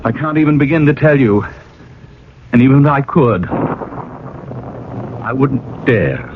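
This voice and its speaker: An actor playing an earnest, protective young sweetheart in a 1980s radio drama, urgent and melodramatic. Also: male voice, mid-range voice, lively intonation, a little slow, close to an everyday voice. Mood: despairing. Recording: tinny, hissy old radio recording.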